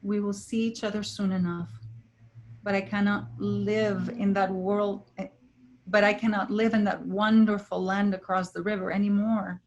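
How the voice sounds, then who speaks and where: A tender spirit of a beloved woman, acted by a woman in a stage play reading. She is earnest and resolute, with a medium-pitched, gentle, deliberate voice. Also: little pitch movement, dull audio, slight background noise, natural voice.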